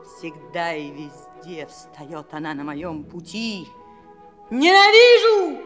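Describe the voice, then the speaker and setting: A wicked, wrathful fairy from a fairy-tale audio play, gloating and full of theatrical menace. Her voice sits fairly low and she drags her words out very slowly. It rings in a long reverb, with faint music behind. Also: animated, fairly bright tone. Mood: furious. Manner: theatrical, menacing, drawn-out delivery.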